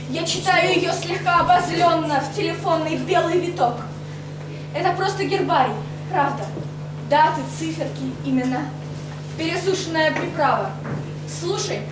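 Young female poet on a club stage, high-pitched, recorded from afar with room noise. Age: young adult. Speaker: poet reading her own poems. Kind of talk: poetry recitation. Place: stage of a rock cafe. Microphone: far camera mic, no handheld mic. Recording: echoey club room, far mic, audible noise.

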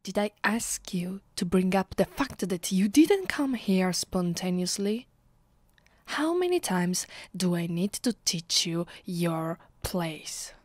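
A woman with an Italian accent playing an imperious, mocking ancient vampire mistress in an ASMR roleplay, speaking deliberately and often dropping into a whisper. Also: on the low side, big pitch swings, no echo, full character voice. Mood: menacing. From Italy. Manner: slow, haughty, deliberate with pauses, often breathy whispering. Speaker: ASMR voice actress. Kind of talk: ASMR roleplay, slow intimate delivery. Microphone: close, dry microphone.

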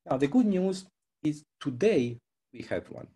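Male academic presenter. Soft-edged, slightly raspy tone, explains conversationally on a video call. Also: fairly high pitch, big pitch swings, a little slow. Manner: conversational, explanatory, with rhetorical questions. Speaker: presenter, researcher.

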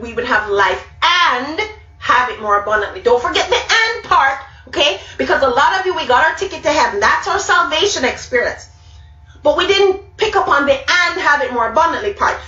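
Middle-aged woman, Jamaican accent, high voice, emphatic live Bible talk, roomy distant webcam sound. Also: bright, forceful tone, muffled recording. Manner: emphatic, repeats key words, gestures a lot. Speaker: speaker. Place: small room indoors. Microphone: webcam at arm's length.

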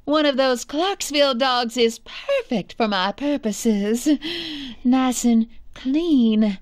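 A woman playing an imperious, menacing female villain boss in a video game, theatrical and falsely sweet. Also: fairly high pitch. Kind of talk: video game cutscene acting.

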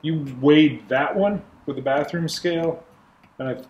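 Young male host, casual shop talk, thinks out loud, roomy garage sound. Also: a little high, measured pace, highs cut off, slight background noise. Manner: thinking aloud, restarts often. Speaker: channel host. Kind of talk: casual chat in a workshop. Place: open garage workshop.

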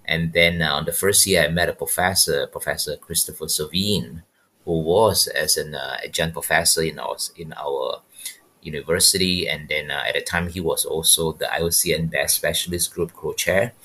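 A Malaysian man in his fifties with a very deep voice, answering questions as a podcast guest. He speaks haltingly, circling back over words as he thinks. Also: fairly even intonation, bright, forceful tone, husky. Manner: halting, repeats words while searching. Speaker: guest, wildlife biologist. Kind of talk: podcast interview, personal story.

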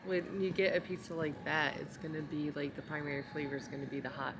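A woman's voice: sits low, flat delivery, quick pace, background noise. A woman chatting casually as a food vlogger, giving frank, descriptive opinions on what she tastes, thinking aloud as she goes. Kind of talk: casual chat while tasting food. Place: restaurant, public space. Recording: busy restaurant with loud crowd chatter.

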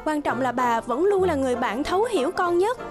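An actress playing a sweet, earnest young bride-to-be in a cartoon, youthful and expressive. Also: female voice, high-pitched, fairly quick. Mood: earnest, pleading. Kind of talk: cartoon voice acting. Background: music.

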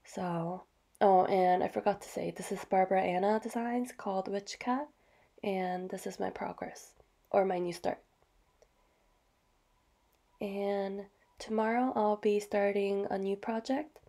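Soft-voiced woman, slightly hoarse, with gravel. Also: mid-range voice, fairly even intonation, measured pace, long pauses. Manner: soft, chatty, with fillers and small apologies. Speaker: vlogger. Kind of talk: casual show-and-tell narration.

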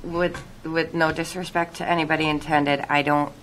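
A woman's voice: deep voice, level tone, moderate pace, fairly bright tone, slight background noise, rough recording. A woman explaining things informally and with careful, hesitant diplomacy as an official at a public meeting.

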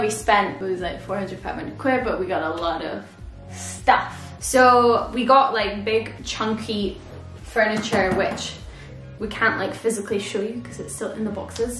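A woman's voice: medium pitch, expressive, normal speed, a bit bright, echoey room, soft background music. A woman in her twenties chatting in a bubbly, giggly way as she shows off her shopping at home. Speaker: vlogger. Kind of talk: casual haul chat. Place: living room at home.

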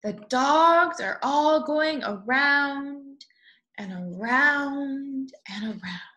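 A woman in her twenties reading a picture book aloud to young children in an expressive way, with drawn-out words. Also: high voice. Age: 20s. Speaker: storybook reader. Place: small room at home, indoors. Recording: echoey room.

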